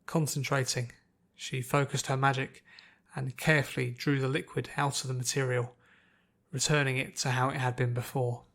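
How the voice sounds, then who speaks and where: A man reading scripted fiction as an audiobook narrator, in a measured, dramatic way. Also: medium pitch, level tone, gravelly, fairly bright tone.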